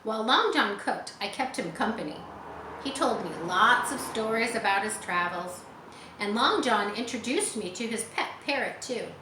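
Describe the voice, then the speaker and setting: A woman reading a children's story aloud expressively, pausing to explain words for young listeners. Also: fairly high pitch, lively intonation. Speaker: audiobook reader. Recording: roomy echo, faint background noise.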